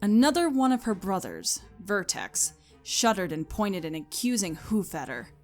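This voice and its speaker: A woman serves as narrator of a scripted comic story. She reads expressively at a middling pitch, with a musical bed behind her voice. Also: very animated, normal speed, faint music behind.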